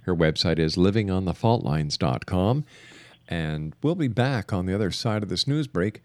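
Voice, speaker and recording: Low-voiced male radio host. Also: animated, moderate pace, no room sound. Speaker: radio talk show host. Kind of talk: conversational radio interview.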